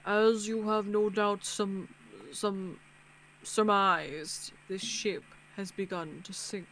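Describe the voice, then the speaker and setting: A woman voicing an ominous game master in a visual novel, speaking slowly, solemnly and formally. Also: high voice, fairly even intonation, a bit bright, a little hiss or hum, heavily acted, low-quality recording. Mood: grave, menacing. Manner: slow, solemn, deliberate.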